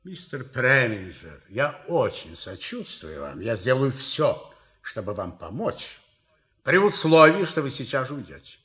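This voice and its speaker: Pompous gentleman with a forceful bright voice. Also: medium pitch, big pitch swings, measured pace. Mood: stern. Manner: formal, commanding, pompous. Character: stern, pompous male family friend, self-important. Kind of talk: theatrical radio play acting.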